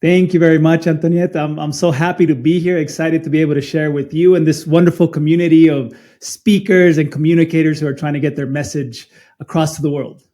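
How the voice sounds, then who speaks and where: Earnest Chilean-born man, slightly high voice. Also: fairly even intonation, a little fast, warm tone. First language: Spanish. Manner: earnest, repeats words when starting sentences. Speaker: guest. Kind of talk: interview storytelling.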